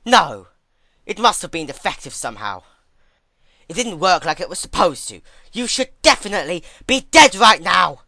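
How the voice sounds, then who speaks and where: A storyteller plays an indignant young pony villainess, frantic and accusing. The voice is pushed up high and hard, with sharp, forceful outbursts in a dry story recording. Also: big pitch swings, normal speed, dry recording. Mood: shocked, angry.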